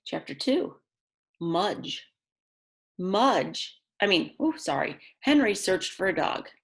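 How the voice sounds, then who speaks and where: Animated woman reading aloud to young children. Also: mid-range voice, takes it slowly, vocal fry. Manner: expressive, addresses young children directly, simple explanations. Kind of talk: children's read-aloud with chatty asides.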